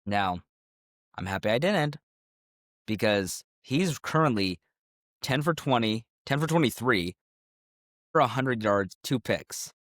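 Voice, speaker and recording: A man's voice: mid-range voice, animated, slow pace, long pauses, a bit bright, no echo, studio quality. A man co-hosting a sports podcast in casual banter, joking and laughing often, a little under the weather. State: sick, recovering from illness. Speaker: podcast co-host.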